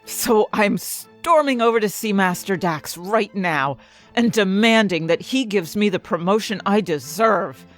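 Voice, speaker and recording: A woman's voice: medium pitch, lively intonation, unhurried, lightly acted. A woman playing an ambitious, indignant fantasy inventor, narrating her own story expressively and articulately in an audio drama. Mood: indignant, boastful. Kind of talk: first-person dramatic audio fiction narration. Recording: music bed behind the voice.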